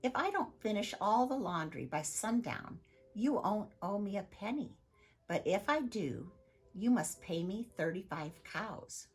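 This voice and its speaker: A woman acts out a storybook troll maiden, scheming and sure of herself. The voice is slightly husky and leaps about in pitch, with a brash, sing-song selling manner. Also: expressive, unhurried. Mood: brisk, persuasive. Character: clever, bold, scheming young female troll.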